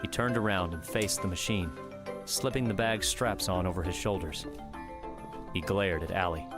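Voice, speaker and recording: A man narrating an audiobook in a measured, steady storytelling manner. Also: deep voice. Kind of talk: scripted narration. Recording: music bed under the voice.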